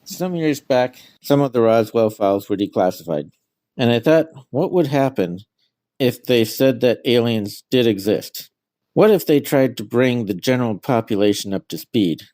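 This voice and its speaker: A man's voice: mid-range voice, animated, moderate pace, warm tone, no room sound. A middle-aged man being interviewed as an author, giving answers about their own work.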